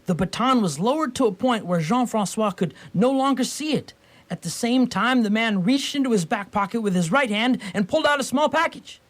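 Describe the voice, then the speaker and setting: A man narrating a scripted radio play as a theatrical, deliberate storyteller. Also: very high voice, lively intonation, fairly bright tone.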